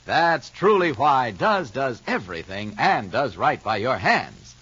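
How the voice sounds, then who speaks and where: A man's voice: mid-range voice, very animated, unhurried, a bit bright, husky, dull audio. Emphatic vintage radio announcer man. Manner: emphatic, salesman-like. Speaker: announcer and narrator.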